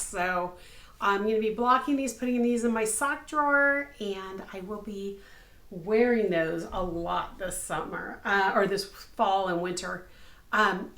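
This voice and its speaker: A woman in her fifties, slightly hoarse, hosting a casual craft podcast from her home craft room, upbeat and full of ums. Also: fairly high pitch, a bit bright. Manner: chatty and upbeat, many ums. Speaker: podcast host, crochet designer. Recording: echoey room.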